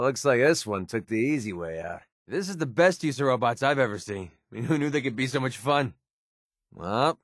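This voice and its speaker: Guy voice acting a sarcastic young hired gun from a video game, cynical but soft underneath, mid pitch, really dry close sound. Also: no echo, natural voice. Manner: wry, sarcastic, colloquial delivery. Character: young wisecracking, cynical mercenary with a soft side. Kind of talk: scripted video game companion dialogue.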